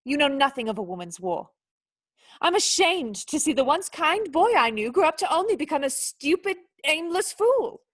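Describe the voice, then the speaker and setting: An actress playing a proud young noblewoman in a radio play, sharp-tongued, wounded and indignant, with cutting, articulate speech. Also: sits high, very animated, normal speed. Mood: scornful.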